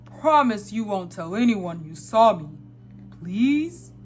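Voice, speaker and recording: Lady putting on jolly old father christmas, bumbling, slow drawn-out words, faint hiss. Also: medium pitch, animated. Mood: sheepish.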